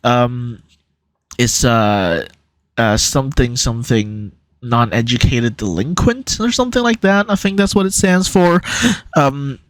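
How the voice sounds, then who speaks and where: A man's voice: mid-range voice, animated, unhurried, dry recording. Hesitant young man, dry close sound. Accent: neutral American-ish. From Hong Kong. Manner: rambling, hesitant, many fillers. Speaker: YouTuber. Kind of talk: casual quiz commentary.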